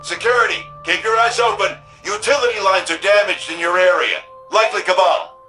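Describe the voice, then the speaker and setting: An actor playing a protective, plain-spoken repair engineer in a futuristic video game, brisk and folksy. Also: male voice, high-pitched, steady tone, pushed and bright, faint music behind. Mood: urgent. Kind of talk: video game radio dialogue.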